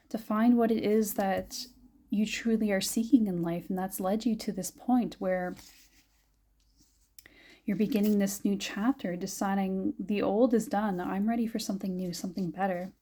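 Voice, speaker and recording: A young adult woman giving a gentle, musing tarot reading, her voice a little raspy and scratchy, often laughing softly. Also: medium pitch. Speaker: tarot reader. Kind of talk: soft-spoken tarot reading.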